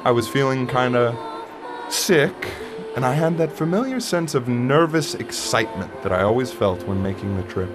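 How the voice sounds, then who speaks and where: A man acting a wistful father who recounts a dark memory to his child in a conversational, reflective monologue with dramatic pauses. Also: mid-range voice, animated, lightly acted. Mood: wry, reminiscing. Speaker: narrator of an audio play. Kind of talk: first-person dramatic monologue, storytelling. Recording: music under the voice.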